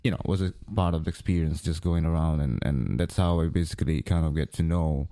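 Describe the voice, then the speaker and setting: A man from Greece, a music producer guest, speaking haltingly and searching for his words. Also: a bass voice, fairly even intonation, dark tone, no echo, clean studio sound. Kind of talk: interview conversation.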